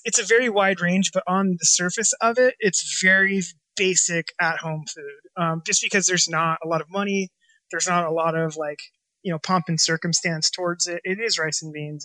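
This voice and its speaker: A chef and restaurant owner from Washington State answering interview questions in a loose, rambling way, full of ums and restarts. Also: male voice, sits high, fairly even intonation, moderate pace, sharp tone, no echo, poor audio. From Washington State, USA.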